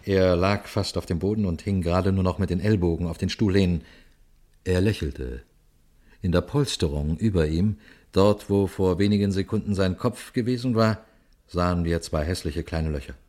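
Actor as sober, low-voiced police detective. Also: male voice, a little mellow, close to an everyday voice. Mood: tense, observant. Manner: measured, formal, deliberate. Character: sober, watchful male police detective narrating his case. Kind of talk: radio crime drama, suspenseful first-person narration and dialogue.

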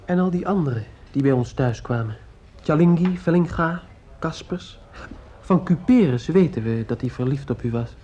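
Guy acting bitter lovelorn student son, high-ish mellow voice, formal, old dull radio play sound. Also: lively intonation, normal speed, lightly acted. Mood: bitter, probing. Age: young adult. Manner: formal, pointed, philosophizing. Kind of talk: Dutch radio drama acting.

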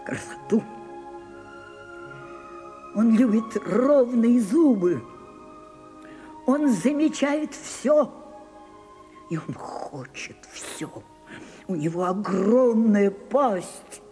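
A bitter old star of the stage, slow and brooding, mellow low voice, faint music underneath. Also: female voice. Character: aging famous actress, bitter and self-searching. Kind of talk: 1980s radio drama acting.

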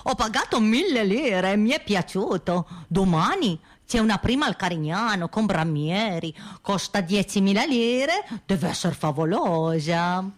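A woman's voice: mid-range voice, lively intonation, unhurried, few pauses. A woman performing scripted theatrical recollections for a radio programme. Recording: faint music behind the voice.

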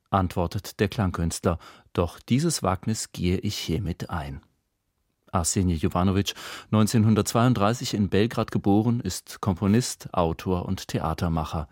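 A man presenting a radio broadcast, reading a scripted introduction in a measured, articulate announcer's delivery. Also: on the low side, darkish tone, no echo, studio quality. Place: dry radio studio. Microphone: close studio microphone.

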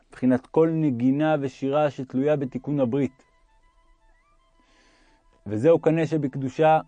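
Mellow-voiced man reading religious text, roomy echo. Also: medium pitch.

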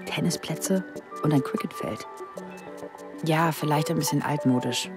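Young secretary heroine, radio drama. Very low female voice with fry, naive and inquisitive, music behind. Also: measured pace. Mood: reflective, intimate. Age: young adult. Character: seventeen-year-old secretary, curious, naive, impressionable. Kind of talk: radio play acting with intimate narration.

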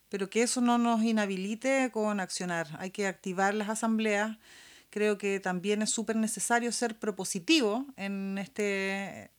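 A woman with a Chilean accent co-hosting a casual political discussion, speaking colloquially and repeating words for emphasis. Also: medium pitch. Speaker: podcast co-host.

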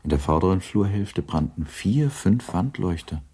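A man narrating a literary radio play in a measured, sober storytelling manner, with a dark, gravelly, husky voice. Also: sits low, expressive.

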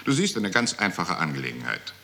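An actor playing a cold, mocking bureaucrat in a 1960s German radio drama, giving condescending, matter-of-fact instructions. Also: male voice, sits low, big pitch swings, fairly quick, vocal fry, pushed and bright, exaggerated voice. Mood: matter-of-fact, impatient. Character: cold, mocking male bureaucrat of a deportation office. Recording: 1960s radio studio recording.

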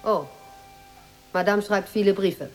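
A woman's voice: mid-range voice, steady tone, unhurried, soft background music, lightly acted. An actress playing a proud, blunt, inquisitive Moroccan woman in a radio drama, speaking in short, direct sentences. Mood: reserved, formal. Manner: direct, self-possessed, short probing questions.

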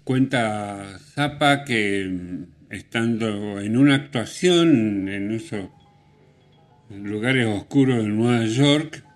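Man, radio storyteller, slightly low voice, unhurried and halting, casual rambling delivery. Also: soft background music. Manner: halting, rambling, conversational with rhetorical tags. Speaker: radio host.

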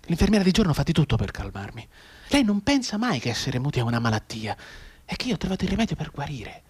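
Husky, anguished bedridden man. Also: mid-range voice. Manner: intense, swinging between hushed brooding and anguished urgency. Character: mute, bedridden wounded man desperately tapping out Morse code. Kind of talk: dramatic radio monologue.

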